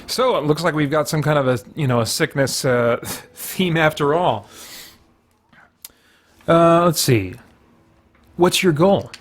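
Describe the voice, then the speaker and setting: A man's voice: on the high side, measured pace, long pauses. A middle-aged man hosting a gaming show, giving casual commentary and thinking aloud in a rambling way.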